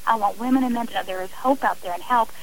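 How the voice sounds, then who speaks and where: High-voiced woman, earnest radio guest, faint hiss. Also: fast, vocal fry, rough recording. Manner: emphatic, impassioned. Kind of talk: earnest interview talk.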